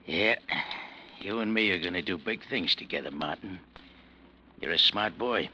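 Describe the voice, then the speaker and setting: An actor playing a menacing gangster in a 1940s radio drama, talking in a low, coldly threatening way. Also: male voice, moderate pace, pushed and bright, a little hiss or hum, poor audio. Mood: friendly.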